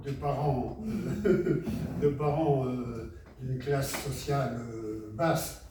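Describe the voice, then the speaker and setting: Halting man heard far off in an echoey hall. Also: medium pitch, steady tone. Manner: brief, halting additions. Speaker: co-author of the book. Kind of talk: unscripted book talk to an audience. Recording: echoey room, distant mic, noise.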